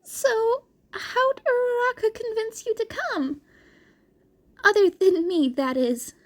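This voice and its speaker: A woman's voice: extremely high pitch, takes it slowly, voice pushed up. A woman voicing an anxious, sweet teenage boy in a story reading, stammering and easily embarrassed. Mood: awkward. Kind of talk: character dialogue in a fan fiction reading.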